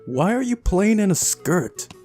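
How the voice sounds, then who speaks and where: A man's voice: high voice, very animated, unhurried, darkish tone, faint music behind, pitched above the performer's own voice. A man voicing a brash, pushy young tomboy girl from a visual novel, exclaiming and insistent. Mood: teasing. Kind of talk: visual novel character read-aloud.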